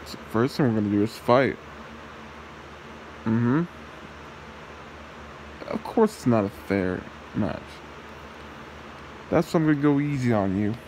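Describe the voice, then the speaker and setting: Possessive demon husband, played by a man, ASMR roleplay: very slow, taunting, gravelly, faint noise behind. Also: mid-range voice, expressive, a little mellow, rough recording. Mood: coaxing, cocky.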